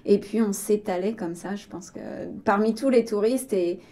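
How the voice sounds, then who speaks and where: Woman, accent of France, mellow warm tone, casual storyteller, hesitant, reflective. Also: mid-range voice, moderate pace. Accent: French from France. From Vendée, western France. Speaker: interview guest, arts organisation director.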